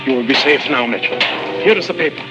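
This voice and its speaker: An actor playing an earnest, self-sacrificing prisoner and secret informant in a 1950s adventure drama, grave and formal. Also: male voice, mid-range voice, lively intonation, fast, lo-fi sound, lightly acted. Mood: resolute, grave. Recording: vintage 1950s TV soundtrack, music behind.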